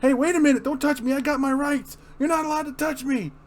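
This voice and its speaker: A man acts out a frantic comic book asylum inmate. The voice is pushed high and loud, whimpering with indignation. There is faint noise on the amateur recording. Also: steady tone, quick pace. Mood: panicked indignation.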